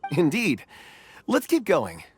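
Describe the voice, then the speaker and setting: Gentle young-sounding ore seeker, lively pitch. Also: male voice, slow, faint music behind, full character voice. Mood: reflective. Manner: earnest, reflective. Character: Kunjun, gentle, thoughtful male ore seeker. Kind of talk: anime game voice acting.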